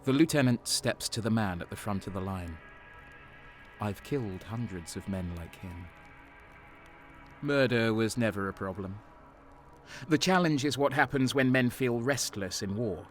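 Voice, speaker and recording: A man's voice: lowish voice, unhurried, long pauses, faint music behind, lightly acted. A man playing a bitter, hardened traitor soldier, narrating an audio drama in the first person with a grim, brooding manner. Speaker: narrator and protagonist.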